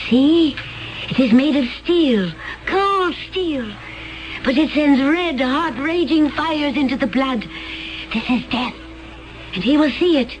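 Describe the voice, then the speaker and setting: An actress playing a cold, vicious assassin from another planet in a 1970s radio drama, intense and gloating, at times dropping to a hushed whisper. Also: female voice, sits high, animated, slow pace, muffled recording, background noise.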